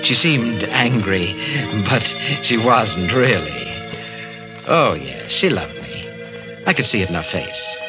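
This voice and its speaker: Brooding 1940s radio horror confessor, swooping delivery. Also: male voice, lowish voice, big pitch swings, takes it slowly, poor audio. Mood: smug. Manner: theatrical, brooding, hesitant repetitions. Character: obsessive, darkly philosophical man confessing to murderous urges. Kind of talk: 1940s radio horror acting, first-person narration and dialogue. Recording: vintage 1940s radio recording, music behind.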